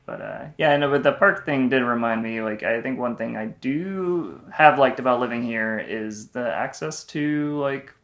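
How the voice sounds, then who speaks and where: Gravelly, rambling young man chatting. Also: mid-range voice, normal speed, hardly any pauses, a bit bright. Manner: rambling with many fillers. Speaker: travel vlog co-host. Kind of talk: casual chat.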